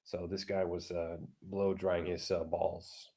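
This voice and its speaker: A man with a deep voice ranting crudely and bluntly, keeping his voice down at home, halting with many fillers and restarts. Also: level tone, breathy edge. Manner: keeps his voice down, talking quietly; crude, blunt, heavy swearing. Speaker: vlogger. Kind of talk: casual profane rant. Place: home room with neighbors through the walls.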